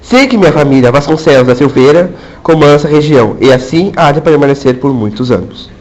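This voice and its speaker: A man's voice: on the high side, expressive, fairly quick, a little mellow, lo-fi sound. Man playing a menacing landowner colonel. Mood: proud. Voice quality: cold veiled threats, commanding. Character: domineering rich rural landowner colonel, menacing. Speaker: colonel character.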